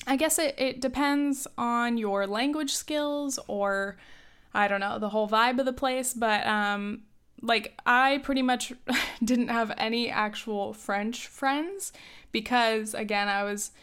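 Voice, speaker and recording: A young woman in her twenties chats through advice in a relaxed, rambling way, with frequent fillers and light laughter. Her voice sits fairly high and sounds smooth and clear, though a touch hoarse from coughing. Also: slow. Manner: chatty, lots of "like" and "um", laughs often. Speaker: advice host. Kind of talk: casual advice chat.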